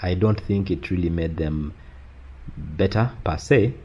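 Casting: Ugandan-accented male interviewee, dark and raspy tone, recounting personal experience reflectively. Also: low voice, a little slow, dull audio. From western Uganda. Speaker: interview guest.

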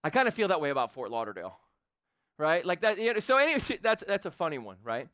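A man in his forties preaching a sermon in a church, loud and animated, building up intensity. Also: on the high side, fast talker, no room sound, clean studio sound. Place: church sanctuary with a congregation. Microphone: handheld or stage microphone.

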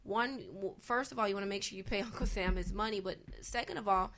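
Woman, medium pitch, real estate investor guest, conversational panel talk, emphatic, repetitive for emphasis. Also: quick pace, a bit bright.

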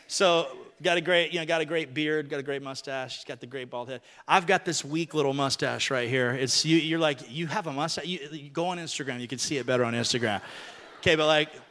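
Joking male preacher, bright ringing tone, long hall reverb. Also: a little high. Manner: joking, animated, talks to people on stage. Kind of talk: lively sermon with audience volunteers.

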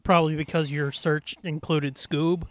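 A man's voice: on the high side, level tone, moderate pace, dry recording. A man co-hosting a casual comedy podcast, bantering and swearing freely. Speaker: podcast co-host. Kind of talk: casual, profane podcast banter and joke reading.

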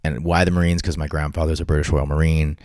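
A man's voice: rumbling low, flat delivery, quick pace, darkish tone, no room sound, clean studio sound. A man, telling a personal story in a casual chat with lots of restarts. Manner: storytelling, frequent restarts and acted-out quotes. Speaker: podcast guest.

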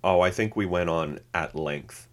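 A man's voice: low-pitched, measured pace. A man co-hosting a podcast, chatting casually, reading notes aloud and laughing easily. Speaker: podcast co-host.

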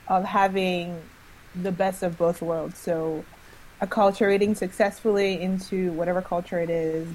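Low-voiced woman steering the talk. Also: a little mellow, a little hiss or hum, poor audio. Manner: steering the conversation. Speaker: podcast host. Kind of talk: moderating a podcast discussion.

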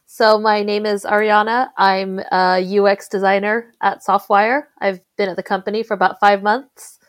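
A woman from the Philippines, raised in Sweden, talking as a UX designer guest in a casual interview, speaking in an articulate, measured way with careful hedges. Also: mid-range voice, little pitch movement.

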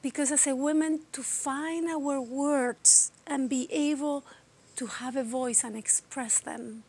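A middle-aged woman from Argentina with an Argentine accent and a slightly raspy voice, speaking earnestly as an interview guest. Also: high-pitched, fairly even intonation, darkish tone. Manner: earnest, unhurried, restarts phrases. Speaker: guest, teacher of voice and body techniques. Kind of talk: conversational interview.

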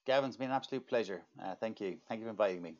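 Middle-aged Irish man, quick upbeat talker, deep voice. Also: a little mellow. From Ireland. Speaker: podcast guest. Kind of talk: interview answers. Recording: slightly dull lo-fi audio.

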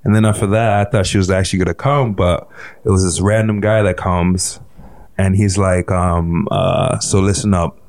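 A young man born in Haiti tells his story with a very low voice, loose and full of fillers, swearing as he goes. The sound is dry and close, with no room echo. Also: fairly even intonation, moderate pace, creaky, a little mellow. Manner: laid-back, rambling, swears freely, many fillers. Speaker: guest musician. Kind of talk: casual storytelling interview.

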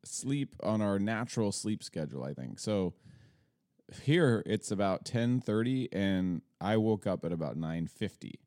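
A man hosting a podcast in a casual morning chat, groggy and halting, laughing often and restarting his phrases. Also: fairly low pitch, expressive, darkish tone, no room sound, clean studio sound. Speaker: podcast host.

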